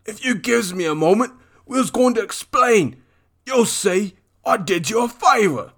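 A man voicing a sly, cringing street crook with a raspy, wheedling voice and broken grammar. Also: sits high, lively intonation, measured pace, fairly bright tone, voice pushed up, exaggerated voice.

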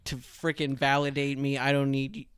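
A man's voice: mid-range voice, flat delivery, moderate pace, a bit bright, smooth, no echo. Male podcast co-host, rambling jokester; dry, close sound. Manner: joking, rambling. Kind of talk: casual chat.

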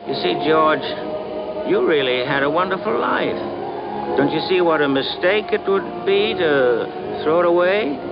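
An actor playing a kindly, earnest guardian angel, gently pleading in 1940s radio drama style. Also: male voice, high-pitched, lively intonation, a little slow, vocal fry, exaggerated voice. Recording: muffled old radio clip under music.

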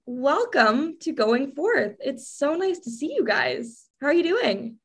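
A woman hosting a podcast, moving between relaxed interview chat and scripted narration in a friendly, upbeat manner. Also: high-pitched, slow. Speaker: podcast host.

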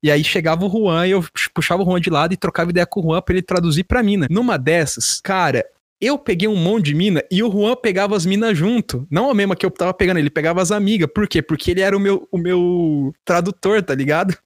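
A man's voice: high-pitched, quick pace, dry recording, studio quality. A Brazilian man chatting casually as a podcast host, telling stories in a slangy, foul-mouthed way. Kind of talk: casual chat, animated storytelling.

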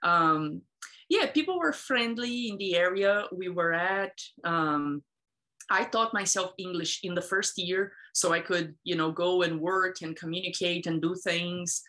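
A woman in her thirties from Brazil talks casually in a lowish voice, laughing often and filling pauses with "like". Her talk is loose and chatty. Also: pushed and bright. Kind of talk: casual conversation.

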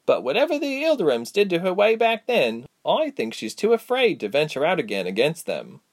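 A man voicing a warm, spirited young woman adventurer with an eager, expressive delivery in a fantasy story. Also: on the high side, fairly quick, no echo, studio quality, voice pushed up, exaggerated voice. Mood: quietly confident.

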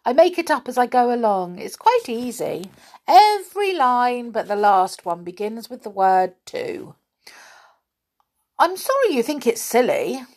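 A woman voicing an eccentric, friendly, hard-of-hearing old man in a children's storybook, loud and eager to oblige. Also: fairly high pitch, big pitch swings, unhurried, voice pushed up. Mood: mildly offended.

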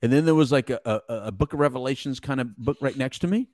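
A man whose first language is Spanish, telling stories in casual podcast conversation with lively, slangy delivery. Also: medium pitch, fast talker, dry recording, studio quality. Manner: animated storyteller, slangy, says "bro". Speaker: podcast co-host.

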